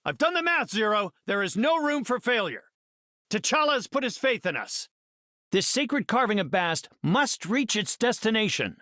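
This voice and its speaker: Middle-aged genius hero, bright forceful voice, very animated, earnest, articulate, cerebral, video game delivery. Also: male voice, high-pitched, moderate pace, no room sound, clean studio sound, exaggerated voice. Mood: determined. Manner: articulate, cerebral, heroic declamation. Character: earnest middle-aged male genius scientist superhero, confident leader.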